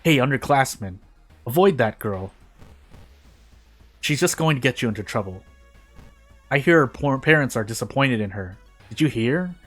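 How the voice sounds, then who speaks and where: A man's voice: mid-range voice, fairly bright tone. Snide young school gossip, acted by a male reader, scornful and taunting, storybook style, music underneath. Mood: dismissive. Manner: taunting, dismissive. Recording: music behind the voice.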